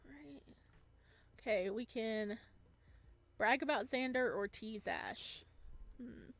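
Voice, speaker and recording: A woman's voice: on the high side, takes it slowly, creaky, a bit bright. Woman reading a story aloud expressively. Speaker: let's-play host reading a visual novel aloud. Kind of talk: expressive read-aloud narration with casual asides.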